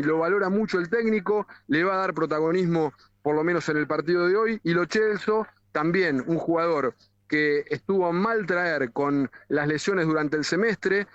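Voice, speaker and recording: A man's voice: a little high, no room sound. An Argentine man with a Rioplatense accent reporting live on sports radio and interviewing people on the street. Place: outdoors in the street outside a stadium. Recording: narrow band live radio link.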